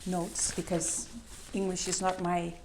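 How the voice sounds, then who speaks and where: Woman, Dutch accent, middle-aged, conference talk, lowish voice, hesitant with fillers. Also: monotone, unhurried, background noise. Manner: hesitant, many fillers, self-deprecating. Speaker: conference speaker. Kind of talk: presentation with slides. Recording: echoey hall.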